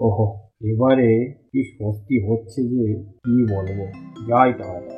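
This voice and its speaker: A man's voice: medium pitch, unhurried, close to an everyday voice. Guy doing a cartoon holy man, lofty n fatherly, kinda muffled audio with music behind. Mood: tired, muttering. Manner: speaks condescendingly to villagers as children. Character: wandering holy man with a mobile phone, calm, lofty, fatherly. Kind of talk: cartoon voice acting. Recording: dull sound with music behind.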